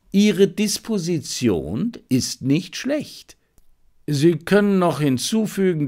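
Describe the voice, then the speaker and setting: A man voicing a confident, analytical detective in an audiobook, explaining things emphatically and deliberately. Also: a little high, heavily acted.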